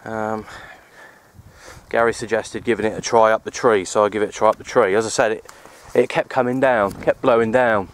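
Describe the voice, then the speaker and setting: A man giving casual, halting, unscripted commentary for an outdoor hobby vlog, recorded on a handheld camera. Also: fairly low pitch, fairly even intonation. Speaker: vlogger, CB radio hobbyist. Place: open hillside under trees, outdoors. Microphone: handheld camera microphone.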